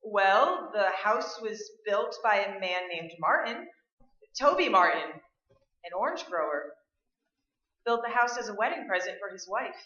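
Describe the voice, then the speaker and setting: Roomy stage sound: woman acting no-nonsense, practical drama heroine, bright and emphatic. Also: medium pitch, big pitch swings, a little slow. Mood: matter-of-fact. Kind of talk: staged radio play acting. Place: indoor stage, live performance. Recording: roomy, dull stage recording.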